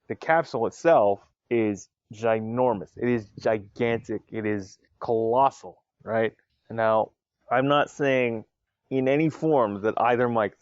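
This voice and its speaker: A man's voice: on the low side, dry recording, lo-fi sound. A man hosting his own podcast, giving his opinions in a casual, chatty way with many asides. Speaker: podcast host. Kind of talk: casual solo podcast talk.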